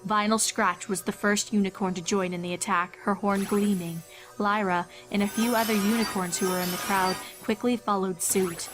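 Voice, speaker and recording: A woman narrates a horror story in a dramatic, scripted style. Her voice is medium in pitch, and music plays clearly behind her. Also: fairly even intonation, moderate pace, low-quality recording. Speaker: fan-fiction narrator.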